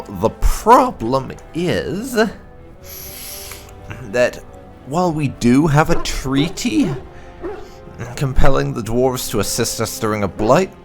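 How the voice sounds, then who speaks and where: A man hosting a gaming video, speaking in a halting, deliberate way with drawn-out emphasis. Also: mid-range voice, vocal fry. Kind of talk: casual let's-play commentary.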